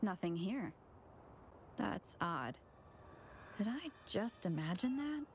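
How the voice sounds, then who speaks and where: A woman's voice: medium pitch, sing-song, slow pace, a little hiss or hum. A woman playing a composed, determined young adventurer in a video game. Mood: curious, puzzled.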